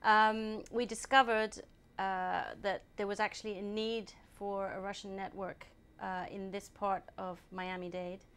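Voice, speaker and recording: A woman born in England, answering interview questions at a steady, measured pace and often pausing on ums. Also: mid-range voice, a little hiss or hum. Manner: measured, hesitant with many ums. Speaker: guest, group founder.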